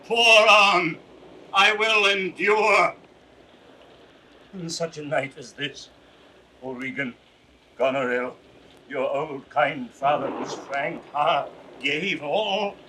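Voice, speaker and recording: A man's voice: a little high, slow pace, pauses often, pushed and bright, exaggerated voice, low-quality recording. An actor playing a proud, anguished old king slipping toward madness, grave and declamatory in classical Shakespearean style. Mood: defiant grief. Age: older. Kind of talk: classical Shakespearean audio drama acting. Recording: hissy vintage 1960s drama recording.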